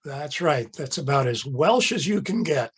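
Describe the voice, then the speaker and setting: A man giving a relaxed slide-show lecture, his voice slightly husky and sitting a little higher than most men's. He talks in a chatty, amused way, dropping fillers and wry asides as he moves through the slides. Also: moderate pace.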